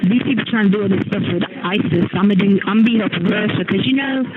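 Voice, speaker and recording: A woman's voice: mid-range voice, very fast, noisy background. A woman in a casual, agitated phone chat, rambling with slang and cursing over a jail phone line. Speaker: jail inmate on a phone call. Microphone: telephone handset.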